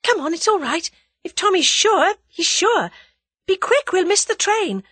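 A woman voicing a brisk, plucky young Englishwoman who speaks quickly and encouragingly. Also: extremely high pitch, animated, fairly bright tone, no room sound, pitched above the performer's own voice, full character voice. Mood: urgent.

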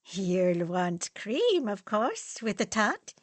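A woman's voice: mid-range voice, big pitch swings, slow, dry recording. A woman voicing a doting, fussing older German housekeeper.

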